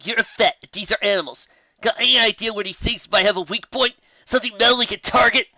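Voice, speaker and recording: A man voicing an urgent pony companion, pitched higher and pressing, on a dry, slightly dull recording. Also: fast, sharp tone, dry recording.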